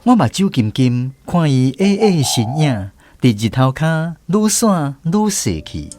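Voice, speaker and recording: A man narrating a story in a gentle, nostalgic, unhurried way. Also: fairly high pitch, sing-song. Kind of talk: scripted storytelling narration, reminiscing. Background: clear background music.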